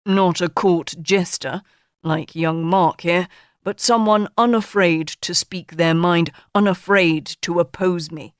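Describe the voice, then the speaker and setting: A woman's voice: on the low side, dry recording, clean studio sound. A woman voicing a pompous, grandiose middle-aged male tycoon in an audiobook, speaking in a declaiming, orotund manner.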